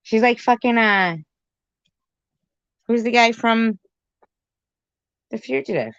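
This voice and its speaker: A woman's voice: a little high, takes it slowly, a bit bright, smooth, no room sound. A woman commentary host reacting to a clip in a blunt, sarcastic, profane way. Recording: dull lo-fi recording.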